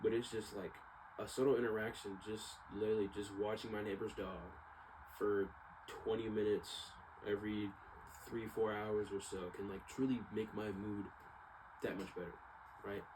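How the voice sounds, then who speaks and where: A young man with a fairly low voice talks through his thoughts in a casual vlog style. His speech is slangy and loose, circling back over points and trailing off. Noticeable ambient noise sits behind him throughout. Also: little pitch movement, normal speed. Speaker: vlogger. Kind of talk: casual rambling personal monologue. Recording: clearly noisy background ambience.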